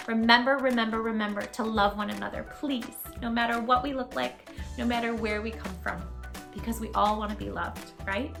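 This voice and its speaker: A woman hosting storytime, reading a children's picture book aloud in a gentle, expressive, affectionate way. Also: on the high side, brisk, vocal fry, roomy sound, over music.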